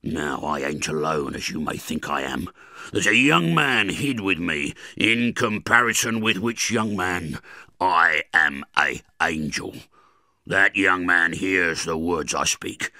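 A rough escaped convict growls out threats in thick rustic dialect, loud and dragging out his words. The voice is dry and close, with a bright, hard edge. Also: sits low, animated, takes it slowly, dry recording, full character voice. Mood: menacing. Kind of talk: dramatized book reading.

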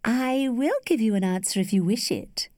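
A woman voicing a worldly, flirtatious lady who teases with cool indulgence in a measured, studied way, as in a storybook. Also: a little high, lively intonation, a little mellow, dry recording, studio quality, voice pushed up, close to an everyday voice. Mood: teasing, frank. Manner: studied, measured, archly playful.

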